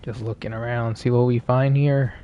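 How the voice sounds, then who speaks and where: A man's voice: mid-range voice, steady tone, moderate pace, dull audio, a little hiss or hum. A man doing casual live gaming commentary as a streamer, reacting off the cuff. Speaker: game streamer.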